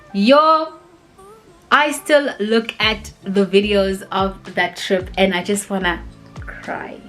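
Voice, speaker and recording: A young woman chats casually through a viewer Q&A, rambling and animated with plenty of fillers. Her voice sits at a mid pitch, and soft music plays faintly underneath. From grew up in Cape Town, South Africa. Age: 20s. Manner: rambling and animated, many "like" and "you know" fillers. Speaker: vlogger answering viewer questions. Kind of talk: casual chatty Q&A.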